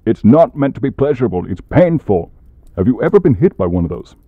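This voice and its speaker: A man playing a grumpy, exasperated demon who punishes people, curt and gruff, in an ASMR comedy roleplay. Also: medium pitch, sing-song, fairly quick, dry recording, phone-quality sound. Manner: gruff, curt, long-suffering.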